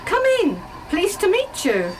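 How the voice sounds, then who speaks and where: Woman as a high, lively, sceptical lady passenger. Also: unhurried, full character voice. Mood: wary. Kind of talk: amateur live radio play acting.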